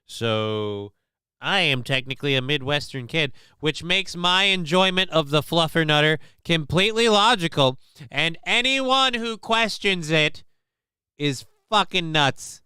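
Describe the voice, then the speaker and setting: A man's voice: a little high, expressive, measured pace, pushed and bright, clean tone, no room sound. A man from Minnesota hosting a casual live show, rambling and swearing while he answers the chat, with lots of restarts and fillers.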